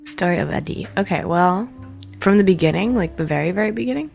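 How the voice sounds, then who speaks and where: A woman's voice: fairly low pitch, animated, fast talker, creaky, darkish tone, soft background music. A woman from Israel chatting casually and playfully as an interview guest, informal and a little self-deprecating. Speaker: interview guest, jazz bassist. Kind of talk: casual chat.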